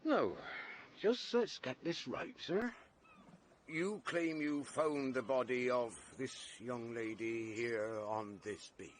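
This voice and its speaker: An actor playing a ponderous English village police sergeant, politely insinuating, in a vintage radio drama. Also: male voice, mid-range voice, a little hiss or hum, natural voice. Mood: stolid, doubting. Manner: ponderous, deliberate, deferential yet insinuating.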